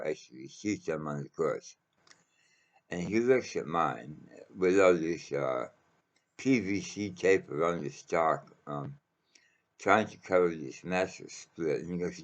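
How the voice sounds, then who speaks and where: Very deep-voiced man, slow casual storyteller. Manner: halting, rambling delivery with frequent pauses. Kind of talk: casual personal anecdote.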